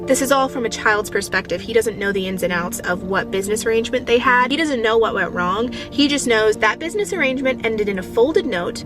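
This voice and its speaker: A woman giving a casual, chatty book review in an expressive, opinionated way, recorded inside a car. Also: a little high.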